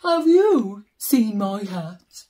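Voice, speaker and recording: A woman voicing a big, polite, forlorn bear, with earnest, drawn-out questions, in a children's picture book. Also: medium pitch, big pitch swings, dark tone, voice pushed down. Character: big polite forlorn bear searching for his lost hat.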